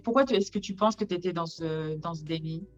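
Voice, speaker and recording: A woman interviewer asks her questions hesitantly. Her voice sits a little low. The sound is dull and lo-fi. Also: fairly quick, soft background music.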